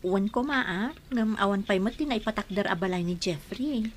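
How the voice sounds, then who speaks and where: A woman's voice: medium pitch, expressive, normal speed, lightly acted. A woman playing an earnest young woman in love. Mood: pleading. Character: young woman in love, defending her choice. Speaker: daughter. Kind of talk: amateur radio drama acting.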